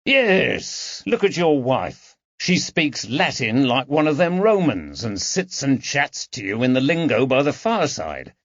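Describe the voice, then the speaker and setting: A man's voice: medium pitch, very animated, measured pace, few pauses, no echo, pitched above the performer's own voice. Man voicing a plain-spoken proud working-class father, lo-fi. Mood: homely, philosophical. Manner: colloquial, homely, unschooled grammar. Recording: dull lo-fi recording.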